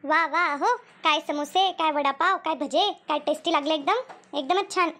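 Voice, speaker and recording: A woman's voice: sits high, moderate pace, bright, forceful tone. A woman voicing a greedy, stubborn, whiny village housewife in a comedy cartoon, with a rural Maharashtrian Marathi accent. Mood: greedy, delighted. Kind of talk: exaggerated comic cartoon dialogue.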